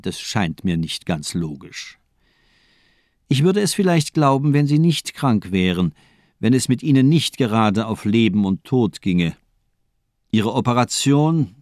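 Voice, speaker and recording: A man playing a cold, cynical, calculating murderous doctor in a German radio crime drama, eloquent and deliberate, with coolly ironic phrasing. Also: lowish voice, darkish tone, no echo, clean studio sound. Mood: coolly analytical.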